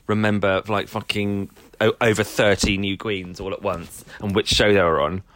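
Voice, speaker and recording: A man co-hosting a podcast, chatting casually with frequent restarts and quick laughs. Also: fairly low pitch, flat delivery, fairly bright tone. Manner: hesitant, full of restarts and laughter. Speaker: podcast co-host.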